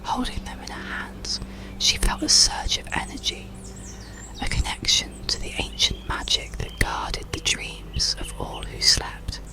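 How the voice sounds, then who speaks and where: A storyteller narrating an ASMR bedtime story in a slow, soothing, hushed whisper. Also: low-quality recording. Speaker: audiobook narrator.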